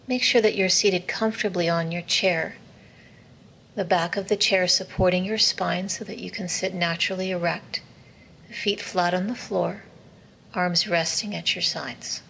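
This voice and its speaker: A woman teaching a guided meditation in a soothing, measured, instructive way, with soft, breathy phrase endings. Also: on the low side, steady tone, poor audio. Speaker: yoga nidra meditation teacher. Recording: faint background noise.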